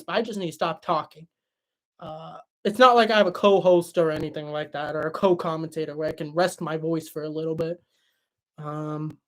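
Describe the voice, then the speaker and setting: Chatty young man, tired voice. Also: high-pitched. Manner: relaxed, chatty, many fillers and restarts. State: voice tired after a long stream. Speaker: livestream host reading chat. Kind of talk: casual livestream chat.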